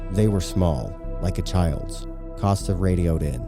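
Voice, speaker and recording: A man narrates a scripted horror audio drama in a deep voice. Music plays clearly underneath his storytelling. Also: a little slow.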